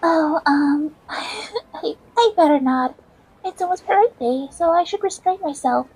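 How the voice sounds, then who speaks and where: Shy, sweet young woman for a game fan dub , woman performer, high and flustered. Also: lively intonation, unhurried, darkish tone, full character voice, low-quality recording. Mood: bashful. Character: sweet, bashful young woman tempted by desserts. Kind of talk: amateur fan voice-over of game dialogue.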